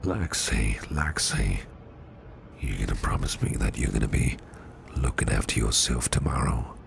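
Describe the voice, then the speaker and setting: A man playing a caring, courteous businessman boss in an ASMR roleplay, speaking in a soft, soothing, half-whispered murmur. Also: very deep voice, measured pace, creaky, a little hiss or hum, full character voice. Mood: tender, soothing. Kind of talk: ASMR personal attention roleplay.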